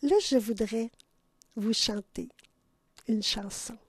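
A woman's voice: fairly high pitch, slow pace, slightly rough, dry recording. An older woman from Ontario with a Canadian French accent, hosting a radio show in a studio, effusive and affectionate, laughing often. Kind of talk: casual radio chat.

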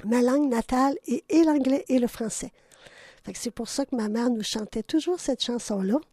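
A woman's voice: fairly high pitch, normal speed, dark tone, slightly rough, dry recording. An older woman from Ontario with a Canadian French accent, hosting a radio show in a studio, effusive and affectionate, laughing often. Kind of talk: casual radio chat.

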